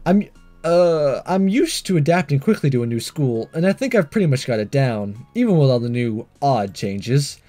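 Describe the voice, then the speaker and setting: Man playing a breezy, mellow teenage boy. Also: mid-range voice, big pitch swings, normal speed, faint music behind, lightly acted. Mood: matter-of-fact. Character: breezy, confident teenage boy disguised as a schoolgirl. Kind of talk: first-person visual novel narration and dialogue.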